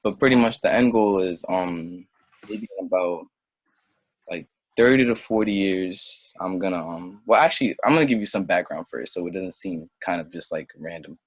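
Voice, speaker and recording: A man's voice: deep voice, normal speed, no room sound, poor audio. A young man raised in Senegal, a native French speaker, rambling and doubting himself as an interview guest, then drifting into impassioned digressions. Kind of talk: casual interview conversation.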